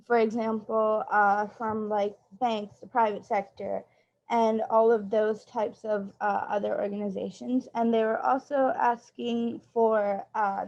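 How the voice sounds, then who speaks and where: Woman, fairly high voice, summarizing points, hesitant ums, muffled lo-fi audio. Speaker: youth summit participant. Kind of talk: reporting back in an online meeting.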